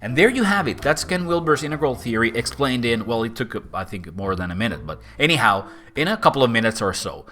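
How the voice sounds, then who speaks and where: Finnish-accented male host, mid pitch, animated explainer, soft music underneath. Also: brisk, hardly any pauses. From Finland. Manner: animated, swooping pitch, playful asides. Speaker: host and philosopher. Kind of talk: casual explainer talk to camera.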